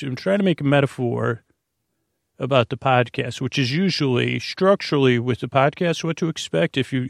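A man with a creaky voice, improvising a meandering, halting bedtime podcast monologue full of asides and self-interruptions. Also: mid-range voice, dry recording, studio quality. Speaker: podcast host.

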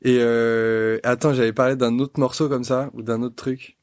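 A man talking things through in a loose, thoughtful ramble, restarting his sentences as ideas come. His voice sits at an ordinary middle pitch and is recorded close on a studio mic. There is no room sound at all. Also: level tone, normal speed, a little mellow, no room sound. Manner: thoughtful, talks in long winding sentences with restarts. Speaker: guest musician. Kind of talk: casual podcast conversation.

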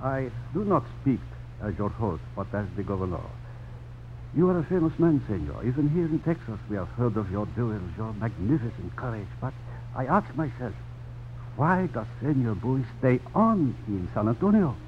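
Stern, formal older governor and father played by a male actor, expressive range, vintage radio drama, muffled broadcast sound. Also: medium pitch, moderate pace, natural voice. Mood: grave. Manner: formal, grave, ceremonious. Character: courtly, stern older Spanish-colonial governor and protective father. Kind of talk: 1950s radio drama acting. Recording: dull, noisy old radio broadcast recording.

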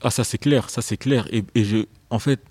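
A man's voice: mid-range voice, moderate pace, darkish tone, slightly rough, dry recording. A young man, an author being interviewed on the radio, speaking thoughtfully and hesitantly, often repeating words as he searches for phrasing. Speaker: author guest.

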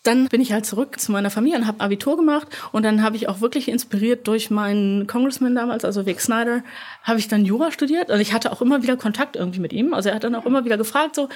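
Interview guest: young woman, relaxed and chatty, full of fillers and quick laughs. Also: fairly high pitch. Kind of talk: casual conversational interview.

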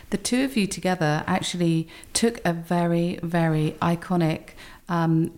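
A woman's voice: on the low side, a little mellow. A woman interviewing a guest in a conversational style, with effusive, wordy questions.

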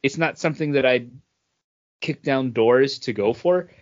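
Man, medium pitch, casual podcast reviewer, opinionated, qualified judgments, dull lo-fi sound. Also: measured pace, a little mellow. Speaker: podcast co-host. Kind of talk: casual beer review and chat. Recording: dull, band-limited audio.

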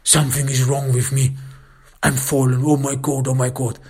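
A man's voice: mid-range voice, flat delivery, slow, vocal fry, a bit bright, husky, voice pushed up, full character voice. A man voicing a guilty, self-pitying father in a spoken story, pleading tearfully and repeating himself. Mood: tearful begging. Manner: pleading, repetitive, whining.

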